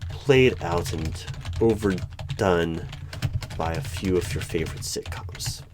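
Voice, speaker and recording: A man playing an eerie, probing hiring manager in a one-sided ASMR interview roleplay, speaking deliberately with pauses between questions. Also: sits low. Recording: background noise with keyboard typing and clicks. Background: computer keyboard typing.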